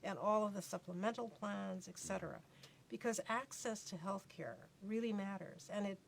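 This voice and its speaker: A woman's voice: mid-range voice, normal speed, creaky, a little hiss or hum. A woman guest expert in an interview, often chiming in with agreement. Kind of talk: conversational interview.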